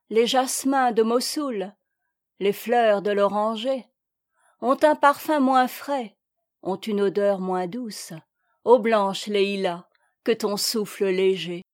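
A woman's voice: medium pitch, slow pace, no echo, clean studio sound. A woman reciting poetry as a volunteer reader.

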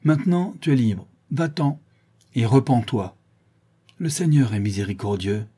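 A stern, solemn male avenger from a storybook, voiced by a man reading aloud. He gives imperious orders and judgements at a raised pitch, with a mellow, slightly husky timbre. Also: lively intonation, measured pace.

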